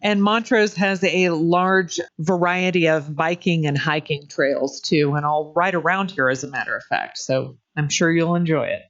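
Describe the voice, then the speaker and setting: A woman's voice: sits low, normal speed, few pauses. A woman hosting a local podcast interview, asking questions in a friendly way with short affirmations. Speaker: host. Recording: dull lo-fi audio with faint music.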